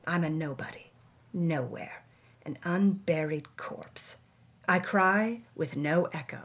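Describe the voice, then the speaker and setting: A woman's voice: low voice, slow pace. A woman co-host giving a dramatic, scripted reading and narration. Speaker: co-host and reader.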